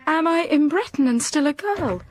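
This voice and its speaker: An actress playing an anguished, feverish young English governess in a literary audio drama, with breathy, halting, impassioned delivery. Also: female voice, high-pitched, lively intonation, faint music behind. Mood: dazed, fragile.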